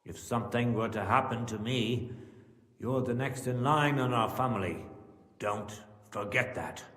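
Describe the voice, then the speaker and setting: A man's voice: mid-range voice, measured pace, fairly bright tone, breathy edge. A man playing a commanding, sardonic middle-aged medieval lord and father in a dramatic video game. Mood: stern, protective.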